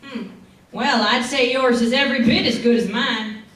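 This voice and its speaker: A woman's voice: on the high side, steady tone, moderate pace, a bit bright, natural voice. A woman playing a brash, hot-tempered, boastful gunslinger with a folksy drawl in an old-time radio western. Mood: bold, challenging. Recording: very echoey room, distant mic.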